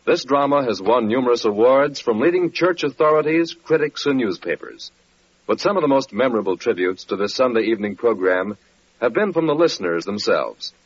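A man's voice: medium pitch, animated, a little fast, breathy edge. A man with an American accent announcing on 1940s radio in a formal, promotional way, on a muffled old recording.

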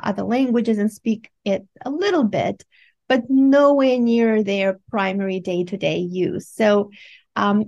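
Romanian-accented woman, measured professor. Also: medium pitch, vocal fry, darkish tone. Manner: measured, precise with some filler pauses. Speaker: guest professor. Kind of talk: interview answers.

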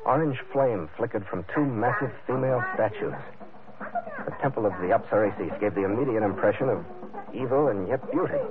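An actor playing a hard-boiled, stubborn sea captain in an old radio adventure, terse, brooding and matter-of-fact. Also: male voice, fairly low pitch, brisk, crowd noise, lightly acted. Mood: brooding, ominous. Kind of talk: old-time radio adventure narration and acting. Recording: narrow-band old radio recording under loud music.

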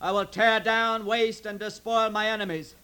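A woman's voice: medium pitch, flat delivery, moderate pace, pushed and bright, clean tone, lightly acted. A woman playing a solemn oath-taker declaiming a fierce vow in an old radio drama. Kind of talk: 1950s radio drama acting.

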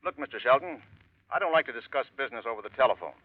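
An actor playing a blunt, businesslike film executive speaking over the telephone, in 1940s radio acting. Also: male voice, medium pitch, expressive, no room sound, lightly acted. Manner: brisk and businesslike. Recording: tinny phone line on old radio.

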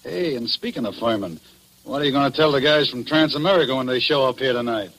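An actor playing a defensive, folksy night jailer who talks in slang, in old radio drama style. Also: male voice, medium pitch, quick pace, over loud music, close to an everyday voice. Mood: casual, defensive. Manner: slangy, uneducated grammar. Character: casual, defensive, folksy male jail night officer. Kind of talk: 1940s radio drama acting. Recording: noisy old radio broadcast recording.

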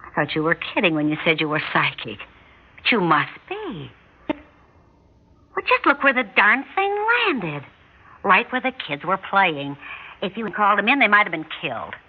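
Excitable housewife friend, very animated. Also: female voice, mid-range voice, normal speed, pauses often, breathy edge. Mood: startled. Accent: American. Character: chatty, excitable suburban housewife friend and neighbor. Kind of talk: old-time radio drama acting. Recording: thin, narrow-band vintage radio recording.

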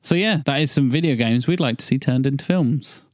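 A man's voice: mid-range voice, brisk, darkish tone, no room sound, clean studio sound. A man hosting a podcast with casual banter. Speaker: podcast host.

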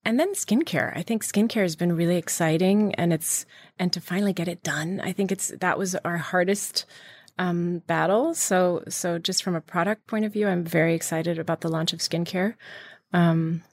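A woman's voice: lowish voice, steady tone, moderate pace, no echo, clean studio sound. A middle-aged woman from Iran, founder of a beauty brand, answering interview questions with eager enthusiasm and many restarts.

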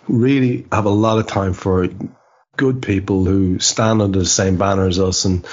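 A man hosting a football podcast in a reflective, halting, self-questioning way, often circling back over his words. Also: deep voice, breathy edge. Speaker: podcast host. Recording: dull lo-fi podcast audio.

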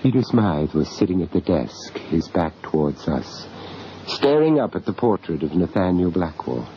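Courteous gentleman investigator, dramatic radio horror delivery, widely swinging pitch, noisy old recording. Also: very animated, moderate pace, muffled recording. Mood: ominous narration. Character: earnest gentleman investigator recounting his horror tale.

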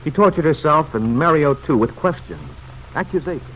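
Male narrator, dramatic scripted delivery, lively pitch movement, old radio recording, faint hiss. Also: fairly quick, low-quality recording.

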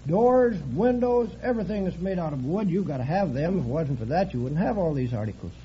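A middle-aged American man speaks as a guest on an old radio quiz. His voice sits a bit high and rises and falls in an animated way, plain and earnest. The recording is muffled, with faint hiss. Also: fairly quick. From Washington State, United States. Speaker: quiz show contestant, timber mill worker. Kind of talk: unscripted guest interview on an old radio show.

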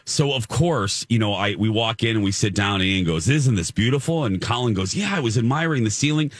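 Male co-host, deep voice, animated storyteller, dry recording. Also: a little fast, no echo. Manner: animated storyteller, frequent restarts. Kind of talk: casual chat.

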